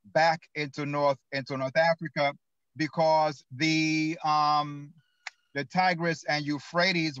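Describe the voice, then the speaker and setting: A fairly high-pitched man lectures carefully and stops to correct his own wording. His voice is dry and dull, as if it comes through an online call. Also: fairly bright tone, no room sound. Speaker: panelist speaking on biblical history. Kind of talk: lecture-like explanation.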